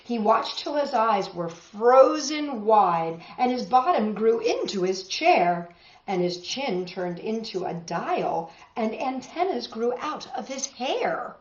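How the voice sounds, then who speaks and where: A woman with a high voice that swings widely as she leads storytime for little children. She takes her time and speaks expressively, straight to her young listeners. The sound is roomy and a little dull. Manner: expressive, unhurried, addressing children directly. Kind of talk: animated storytime talk and read-aloud for young children.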